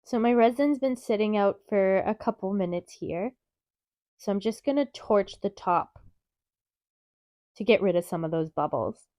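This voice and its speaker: A woman with a stuffy, nasal voice from a cold, calmly explaining steps in a craft tutorial. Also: mid-range voice, unhurried, long pauses, no echo, clean studio sound. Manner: calm, methodical, chatty explaining. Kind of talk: step-by-step craft tutorial narration.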